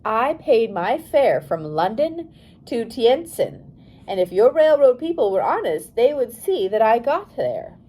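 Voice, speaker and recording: A determined young missionary woman, voiced by a female audiobook reader, speaks in a fairly high, lively voice. She is firm and commanding, ready to turn indignant and emphatic when crossed. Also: unhurried. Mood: firm, controlled. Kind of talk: audiobook character dialogue.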